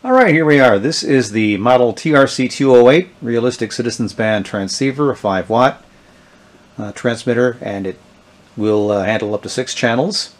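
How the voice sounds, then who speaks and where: A middle-aged man gives a casual, unscripted product demonstration, haltingly thinking aloud as he goes. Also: mid-range voice, animated. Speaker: presenter of a vintage electronics channel. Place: indoors, small tabletop product-shooting setup.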